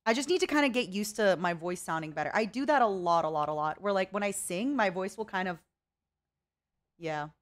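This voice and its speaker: A woman's voice: medium pitch, animated, brisk. A young woman streaming a casual chat with viewers, rambling and reading out chat messages. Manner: chatty, rambling, reads chat aloud.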